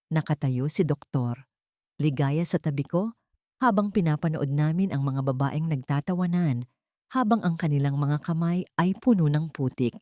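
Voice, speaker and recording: A woman's voice: sits low, a little mellow, dry recording, clean studio sound. A woman reading scripted, dramatic first-person narration for a romance audio drama. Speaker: story narrator.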